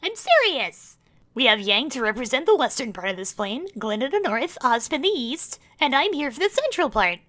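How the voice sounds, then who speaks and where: A young woman acting an earnest, friendly and informal young queen in an expressive fan dub. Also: sits high, normal speed. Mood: enthusiastic.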